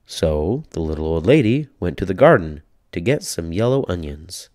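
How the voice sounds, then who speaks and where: A man narrating a children's read-aloud story in a deliberate, rhythmic, expressive way. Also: low voice, dry recording, studio quality.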